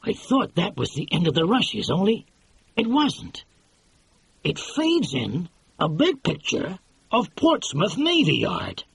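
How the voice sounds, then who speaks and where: A man's voice: high voice, wildly swooping pitch, slow, creaky, a bit bright, slightly rough, no echo, pitched above the performer's own voice, full character voice. A man reading an audiobook and voicing a brash, pushy middle-aged movie mogul who talks in slang. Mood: boastful, excited. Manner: slangy, pushy, exclamatory, keeps saying "see". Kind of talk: audiobook character voice.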